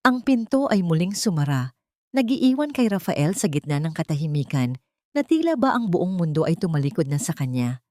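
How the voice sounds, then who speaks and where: A woman reading a dramatic love story as scripted narration, in a measured, steady way. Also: fairly low pitch, no echo, studio quality.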